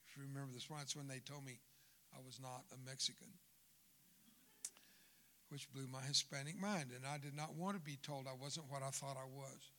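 A man's voice: medium pitch, steady tone, moderate pace, pauses often, sharp tone. A man in his seventies preaching in a church, folksy and joking with the crowd, who grew up speaking Spanish first. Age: 70s. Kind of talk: sermon. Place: church sanctuary.

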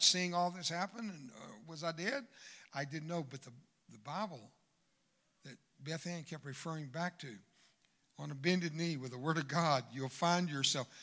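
An older man preaching a sermon in church, swinging from loud exhortation to hushed asides. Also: fairly high pitch. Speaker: guest preacher. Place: church sanctuary.

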